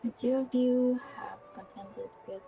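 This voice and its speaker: A woman's voice: fairly high pitch, fairly even intonation, a little slow, vocal fry, mellow, soft background music. A woman game streamer reacting casually and reading along during play.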